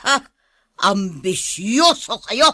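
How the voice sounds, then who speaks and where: A woman's voice: medium pitch, big pitch swings, takes it slowly, pushed and bright, no echo, studio quality, heavily acted. An actress playing a haughty, stingy middle-aged rich mistress and stern mother in a radio drama, scornful and imperious. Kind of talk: Ilocano radio drama acting.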